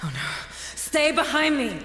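Game mission leader, urgent, protective, commanding, highish voice, loud music behind. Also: female voice, sing-song, unhurried, exaggerated voice. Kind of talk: video game cutscene acting. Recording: echoey hall reverb under loud music.

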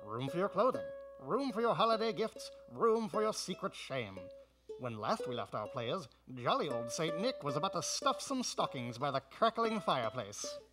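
A man's voice: a little high, sing-song, moderate pace. A man playing a grandiose announcer of a radio telenovela, reading scripted introductions. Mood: mock-solemn. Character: grandiose telenovela announcer addressing gentle listeners. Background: plucked guitar music.

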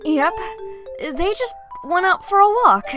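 Lady doing a sweet reassuring fox mom in a cartoon dub, high lilting voice. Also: expressive, a little slow. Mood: cheerful. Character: sweet, motherly young female fox-like creature. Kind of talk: cartoon comic dub acting. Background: loud music.

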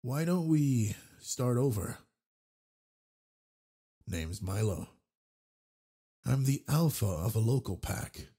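A man playing a big, gentle, flirty werewolf pack alpha in a romantic audio roleplay, husky and gravelly, talking in a soft, teasing way. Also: mid-range voice, lively intonation, takes it slowly, pauses often, exaggerated voice. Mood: friendly, charming.

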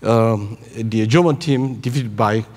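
A man in his sixties speaks as a keynote presenter with a Korean accent. He proceeds haltingly, often searching for the next word. His voice carries the long reverb of a large hall. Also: on the low side, a little mellow. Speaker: keynote speaker, robotics researcher. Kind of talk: conference presentation. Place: large conference hall on stage. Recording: echoey hall through PA.

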